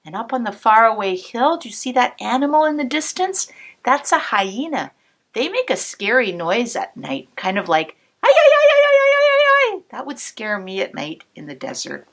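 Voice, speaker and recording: A woman cantor reading a picture book aloud to children, expressive, pausing to point out the pictures. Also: mid-range voice. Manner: warm, expressive storytelling with asides to the listener.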